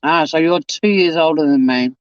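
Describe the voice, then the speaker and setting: A man's voice: fairly high pitch, normal speed, clear, resonant tone, no echo, lo-fi sound. A man in his fifties chatting casually on a live stream, reading out and answering viewer comments. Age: 50s. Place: home.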